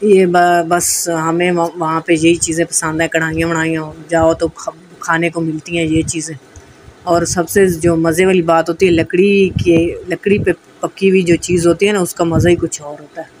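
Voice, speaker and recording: Pakistani woman vlogger, fairly even pitch. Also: moderate pace, rough recording. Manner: chatty, addressing viewers directly. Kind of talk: casual vlog voice-over. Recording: noticeable background noise.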